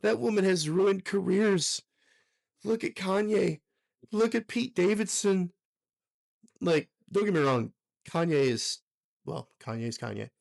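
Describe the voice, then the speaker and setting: A hoarse young man recovering from a sickness, co-hosting a casual motorsport podcast in a rambling, filler-heavy way with frequent laughs. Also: high voice, lively intonation, unhurried, pauses often, darkish tone. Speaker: podcast co-host.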